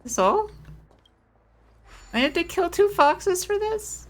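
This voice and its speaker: A woman's voice: very high-pitched, big pitch swings, slow. A woman giving casual, playful commentary as she plays through a video game. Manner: playful, jokey. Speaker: game streamer playing through a story quest.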